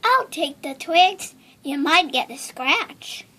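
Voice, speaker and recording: A fussing royal manservant from a storybook acted out by a woman, rather high in pitch. He is overprotective and eager, always reassuring. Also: expressive, slow, bright, forceful tone, full character voice. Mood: fussy. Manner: reassuring, fussy.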